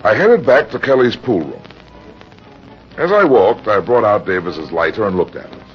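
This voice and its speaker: An actor playing a weary, hard-boiled private detective, terse and grave, in the style of 1940s radio. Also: male voice, on the low side, expressive, normal speed, lightly acted. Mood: brooding. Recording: dull old radio recording with music.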